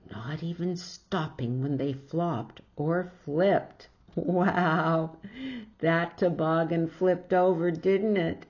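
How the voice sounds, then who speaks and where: Woman reading a kids book out loud, really slow n dramatic, lowish voice, bit dull sounding. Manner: theatrical, exclaims reactions between lines. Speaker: storybook reader. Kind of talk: expressive read-aloud storytelling for children. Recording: dull lo-fi recording.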